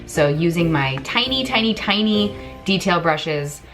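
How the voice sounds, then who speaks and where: A woman in her thirties chats through a craft tutorial in a relaxed, self-deprecating way. Her voice sits on the lower side. She talks from an indoor room at home, with faint music playing behind her. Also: measured pace. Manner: chatty, self-deprecating, jokey. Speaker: craft vlogger. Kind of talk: casual tutorial chat.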